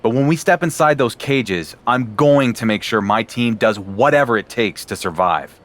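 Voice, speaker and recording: An actor playing a young champion wrestler who talks in a dry, sarcastic way. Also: male voice, medium pitch, animated, moderate pace, close to an everyday voice.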